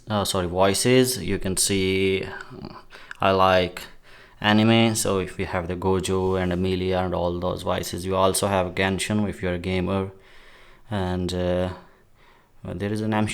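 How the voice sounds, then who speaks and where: A man giving a casual, somewhat halting product demo as a gadget reviewer. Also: deep voice, vocal fry.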